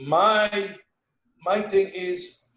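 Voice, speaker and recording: A man in his sixties from Haiti with a strong Haitian accent, telling stories in an animated way in a casual family conversation. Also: high-pitched, very slow, reverberant. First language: Haitian Creole. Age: 60s. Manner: animated storytelling, broken English phrasing. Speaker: guest, father.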